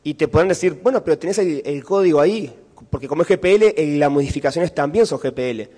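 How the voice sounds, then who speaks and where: A man with a Uruguayan Spanish accent talks through ideas at a conference, pausing on fillers and checking in with the room. His voice sits a little high. A long hall reverb trails his words. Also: animated, a little mellow, breathy edge. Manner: explanatory, frequent fillers and rhetorical checks. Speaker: conference speaker. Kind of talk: conference talk and Q&A answer.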